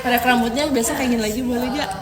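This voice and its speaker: A woman's voice: a little high, steady tone, racing. A young woman on a casual live stream, giggly and easygoing, talking to her viewers. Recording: echoey room with loud crowd noise.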